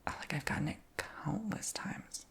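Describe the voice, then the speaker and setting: A man whispering through a rambling ASMR storytime chat, his voice high-pitched for a man, with frequent ums and likes. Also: measured pace, fairly bright tone. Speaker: ASMR creator.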